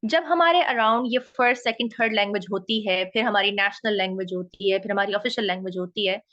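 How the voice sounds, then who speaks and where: A woman's voice: mid-range voice, a little fast, a bit bright. A woman with a Pakistani Urdu accent, a linguistics researcher explaining concepts and often checking in with "okay?". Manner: frequent "okay?" checks, mixing in English phrases. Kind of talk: explanatory talk. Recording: dull lo-fi audio.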